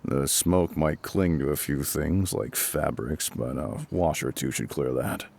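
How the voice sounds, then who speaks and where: Very deep, gravelly male fire captain acted out. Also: a little mellow, full character voice. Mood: matter-of-fact, reassuring. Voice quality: gruff, gravelly, husky. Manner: measured, matter-of-fact, reassuring. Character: gruff, calm, reassuring male fire captain. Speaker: voice actor in audio roleplay. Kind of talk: intimate scripted audio roleplay spoken to the listener.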